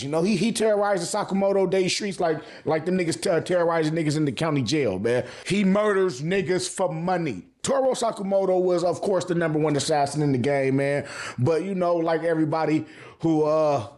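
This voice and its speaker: A man's voice: on the high side, lively intonation, moderate pace, a little mellow. A man giving casual, slangy video commentary as an anime reviewer, swearing freely and repeating phrases for emphasis.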